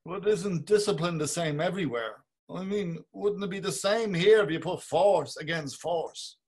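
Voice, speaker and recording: A man playing a husky, fussy, hedging Bloom. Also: high-pitched, lively intonation, moderate pace, darkish tone, exaggerated voice. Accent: Irish. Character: fussy, hedging middle-aged Bloom, polite. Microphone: video call webcam.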